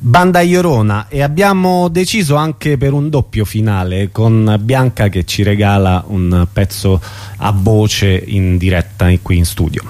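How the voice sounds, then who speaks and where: Unhurried male radio host. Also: on the low side, darkish tone. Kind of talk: casual radio presenting. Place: radio studio.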